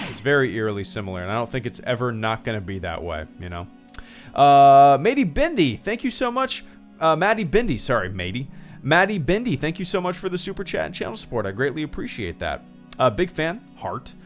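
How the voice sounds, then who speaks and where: A man in his thirties hosting a casual livestream, rambling with lots of fillers and restarts and reading out thanks to viewers. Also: medium pitch. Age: 30s. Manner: reads out viewer thank-yous. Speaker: livestream host.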